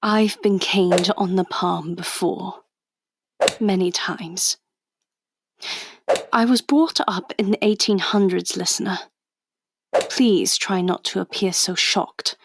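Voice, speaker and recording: A woman playing a plucky, wry undercover agent, narrating confidingly to the listener in a radio drama with a deliberate delivery. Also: medium pitch, steady tone, slight background noise. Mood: grave, confiding.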